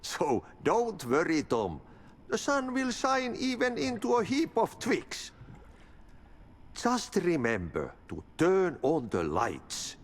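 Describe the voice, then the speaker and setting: An older, cryptic Finnish janitor from a video game. His cheerful, folksy delivery swings wildly up and down in pitch and takes its time. The voice rings in a long, cavernous reverb. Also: huge pitch swings, slow pace, heavily acted. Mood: playful, cryptic. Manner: folksy riddles, sing-song drawl.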